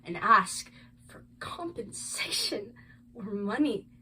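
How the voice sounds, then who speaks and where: An amateur actress performing a stage monologue as a grieving, principled mother, halting and anguished. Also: medium pitch, sing-song, bright, forceful tone, slight background noise, full character voice, low-quality recording. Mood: solemn. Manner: halting, anguished, weighty pauses. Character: grieving, principled mother who lost her daughter.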